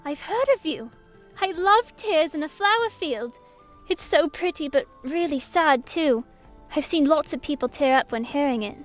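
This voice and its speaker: A woman playing a curious, candid young princess in an audio drama, with a soft, innocent manner. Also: high voice, animated, normal speed, faint music behind. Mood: admiring, curious.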